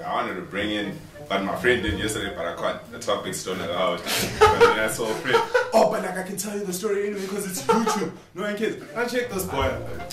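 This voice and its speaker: A man in his twenties telling a story for a casual vlog in a slangy, jokey, theatrical and repetitive way. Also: on the low side. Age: 20s. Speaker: vlogger. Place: small indoor room at home. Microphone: camera mic a few steps away. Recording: roomy, distant mic under music. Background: music.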